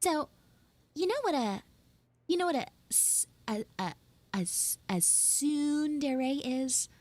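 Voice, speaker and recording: A woman's voice: sits high, big pitch swings, slow, darkish tone, no echo, full character voice. A young woman playing a flustered, pleading tsundere schoolgirl in a live roleplay, rambling and stammering dramatically. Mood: sheepish. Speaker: live audio roleplay streamer. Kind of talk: improvised live character roleplay.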